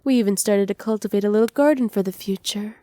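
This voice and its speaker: Woman playing a doting, possessive witch, mellow and close. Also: medium pitch, fast talker, dry recording, close to an everyday voice. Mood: soft, coaxing. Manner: soft, teasing, condescendingly affectionate. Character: doting, possessive witch mistress pampering her captive pet. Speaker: voice actor. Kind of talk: ASMR roleplay.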